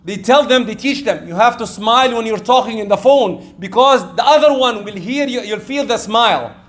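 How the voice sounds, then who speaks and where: Middle-aged preacher, high voice, animated and rising to loud peaks. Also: male voice, normal speed. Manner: animated, acts out quoted exchanges, rising loud when excited. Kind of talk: sermon.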